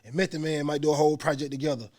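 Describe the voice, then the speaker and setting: A male podcast host who talks fast and animated, full of slang and swearing. His voice sits a touch higher than most men's. He keeps checking in with his guest as they chat. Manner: animated, profane street slang, frequent "you know what I'm saying". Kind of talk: casual interview chat.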